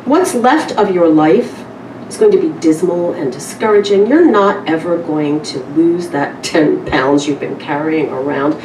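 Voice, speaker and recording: A woman reads as a sly, gleefully cruel male bill collector from a short story, spelling out doom with insinuating care. The voice is slightly low and comes from a distance in an echoey room. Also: expressive, a little mellow. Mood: mocking, cruel. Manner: insinuating, deliberate, drawn-out doom-saying. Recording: roomy, distant live recording with noise.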